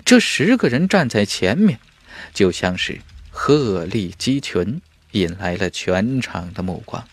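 A man narrates a fantasy novel dramatically. His voice sits low and moves widely in pitch, recorded dry over faint music. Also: big pitch swings, unhurried, husky, no echo. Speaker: audiobook narrator.

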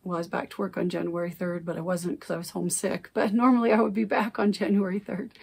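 A woman's voice: medium pitch, creaky, darkish tone, husky. A middle-aged woman vlogging casually from her craft room, rambling and correcting herself, her voice still recovering after she lost it. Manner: rambling, self-correcting, chatty. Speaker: cross-stitch vlogger. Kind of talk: casual chat. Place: home craft room, indoors. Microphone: camera at desk distance.

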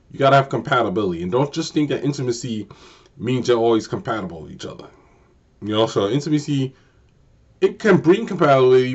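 A man in his forties speaking straight to a camera from his bedroom, steady mid-range voice. He hammers his points home with repetition and slows down for emphasis. The sound is a bit dull and lo-fi, like a built-in camera mic. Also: mid-range voice. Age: 40s. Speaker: vlogger. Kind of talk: casual unscripted advice monologue. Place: small bedroom, indoors. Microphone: built-in camera mic at desk distance.

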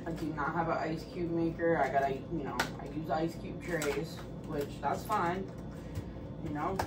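A woman's voice: low-pitched, fairly even intonation, moderate pace. A woman in her thirties vlogging casually to camera in her small apartment, frank and chatty, full of "like" and "guys". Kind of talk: casual vlog chat to camera. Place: small apartment bedroom and kitchen. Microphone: camera set a few steps away. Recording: echoey room from far off, background noise.

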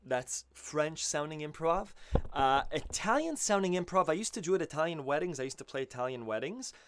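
A man's voice: on the high side, expressive, brisk, a bit bright, faint music behind. A man in his thirties, an accordion teacher, chatting casually and animatedly on a live stream and often correcting himself. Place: small home studio, indoors. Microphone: studio mic on a stand. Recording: accordion playing beneath the voice. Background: his own accordion playing.

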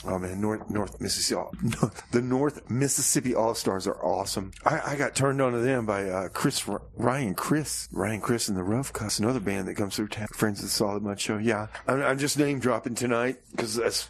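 A man's voice: lowish voice, a little hiss or hum. A man hosting a radio show in casual, rambling DJ chat, folksy and given to repeating himself.

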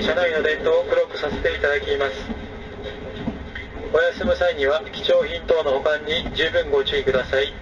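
Male conductor, formal PA announcements, dull distant speaker sound over loud train rumble. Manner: formal, measured, with frequent "e" fillers. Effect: public address speaker. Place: moving overnight sleeper train. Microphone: train public address system, recorded from a passenger seat. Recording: distant, dull, noisy 2006 recording of train PA. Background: train running noise.

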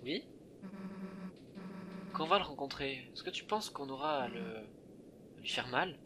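A man's voice: fairly high pitch, sing-song, unhurried, gravelly, background noise, full character voice. A man voicing a shy, kind, eager young fantasy game companion, hesitant and stammering. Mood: timid, worried.

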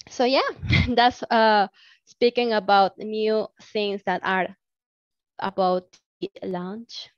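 A woman's voice: on the high side, clean tone, no echo. A woman in her thirties giving enthusiastic updates on a video call, with many fillers. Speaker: team member presenting updates. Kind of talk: casual meeting update. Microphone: laptop mic on video call. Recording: dull lo-fi call audio.

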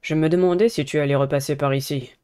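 A man voicing a polite, earnest teenage schoolboy in a dating game, measured. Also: fairly high pitch, fairly even intonation, darkish tone, clean tone, close to an everyday voice. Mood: calm, earnest.

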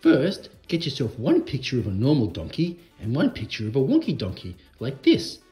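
A man's voice: medium pitch, huge pitch swings, normal speed, warm tone, echoey room, faint music behind. A man voicing a cheerful, boastful stuffed bear who presents crafts for children in a puppet show.

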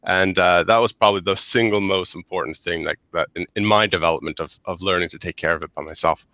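A man's voice: low-pitched, steady tone, quick pace, pushed and bright, dry recording. A man in his thirties from the United States, a guest on a video call headset, talking casually with many restarts and repeated words. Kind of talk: casual interview chat. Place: small office with whiteboard. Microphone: headset mic on a video call.

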